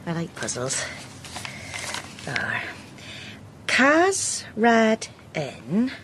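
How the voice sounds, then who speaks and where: A woman playing a kind, devout peasant mother in a cottage, speaking gently and homely. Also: lowish voice, huge pitch swings, extremely slow, a little hiss or hum, heavily acted. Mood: curious, chatty. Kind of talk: radio drama acting.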